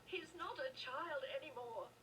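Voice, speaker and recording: A woman's voice: sits high, moderate pace, natural voice. An actress playing an anxious, pleading mother and protective wife in a video game. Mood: worried, pleading. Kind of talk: video game dialogue acting. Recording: distant, noisy game capture with ambience.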